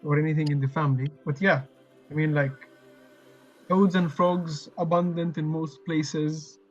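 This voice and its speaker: A man's voice: a little high, steady tone, dull audio, soft background music. A man co-hosting an online workshop, talking casually over a video call with lots of fillers and restarts. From lives in Australia. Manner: halting, many fillers and restarts. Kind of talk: casual online session chat.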